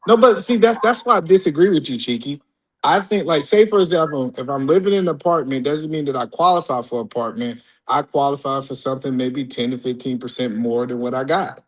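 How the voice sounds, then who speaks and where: A man's voice: medium pitch, brisk, rough recording. A man on a casual talk panel who argues his side and explains it with everyday examples.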